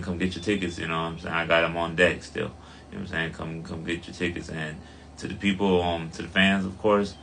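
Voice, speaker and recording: A man's voice: sits low, fairly bright tone. A young man, a boxer, giving laid-back interview answers full of "you know what I'm saying". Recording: faint ambient noise.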